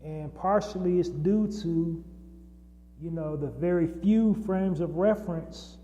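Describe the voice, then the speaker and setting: Dark-toned man hosting in a reverberant room. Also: on the high side, a little slow, highs cut off. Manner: unscripted, many fillers and restarts. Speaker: podcast host. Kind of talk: solo monologue podcast. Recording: long reverb and faint hum.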